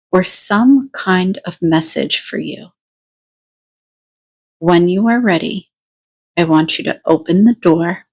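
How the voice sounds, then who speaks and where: Slow, lower-pitched woman guiding meditation. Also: a little mellow, low-quality recording. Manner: slow, deliberate instruction in short phrases with pauses. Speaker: meditation guide. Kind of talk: guided meditation.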